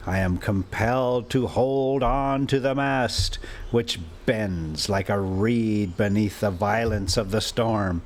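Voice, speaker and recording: A man reading a classic adventure story aloud as a storyteller, in deliberate, halting phrases with an informal touch. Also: lowish voice, a little hiss or hum. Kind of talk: audiobook-style reading with casual asides.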